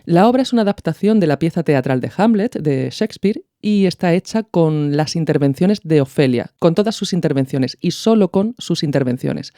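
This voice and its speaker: A woman's voice: sits low, lively intonation, normal speed, few pauses, dark tone, dry recording, clean studio sound. A woman hosting a podcast, explaining in a conversational, didactic way. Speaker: podcast host. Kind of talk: explanatory podcast commentary on radio art.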